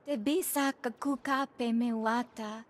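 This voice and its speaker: A woman voices a self-interested female alien agent in a video game. She has a fairly high voice and a businesslike manner. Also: fairly even intonation, a little slow, close to an everyday voice. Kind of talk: scripted video game dialogue.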